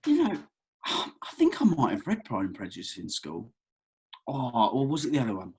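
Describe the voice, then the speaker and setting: A man's voice: mid-range voice, wildly swooping pitch, moderate pace, darkish tone, husky, heavily acted. A man playing an awkward, eager young man in a script read, hesitant and conversational.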